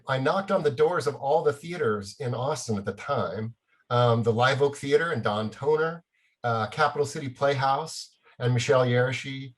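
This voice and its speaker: A middle-aged man, a playwright, telling casual anecdotes in an animated, self-deprecating way with chuckles. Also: mid-range voice.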